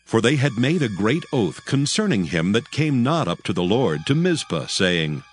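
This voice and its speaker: A man's voice: medium pitch, big pitch swings, moderate pace, husky. A man narrating scripture in a solemn, scripted style for a dramatized audio Bible. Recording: music bed behind the voice. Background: background music.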